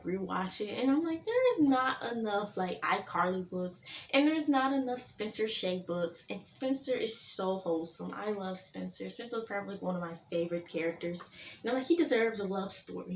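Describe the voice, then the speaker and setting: A tired young woman, her voice going hoarse, chatting in a rambling vlog with lots of "like" and "you know what I mean". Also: mid-range voice. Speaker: YouTuber, fan-fiction writer. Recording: echoey room with faint hiss.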